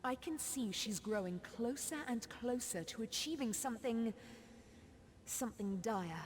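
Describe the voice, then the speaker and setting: Solemn sorceress in long reverb. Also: female voice, a little high, expressive, a little hiss or hum. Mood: ominous. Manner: grave, formal, measured storytelling delivery. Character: solemn, foreboding sorceress grieving over her wayward sister. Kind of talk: fantasy video game voice acting.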